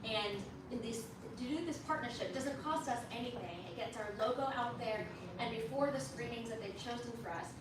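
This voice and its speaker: A woman speaking loudly without a mic, casually telling an audience about partner events. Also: fairly high pitch, brisk, few pauses, crowd noise. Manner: loud projected voice without a mic. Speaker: organization leader describing partner events. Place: hotel banquet room at a luncheon. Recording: echoey room, speaker far from mic, noisy.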